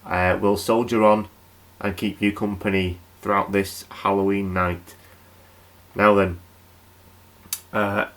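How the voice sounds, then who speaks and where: Man acting local radio host, male, lowish voice, chatty, wry, informal late-night patter. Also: fairly bright tone, close to an everyday voice. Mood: jovial.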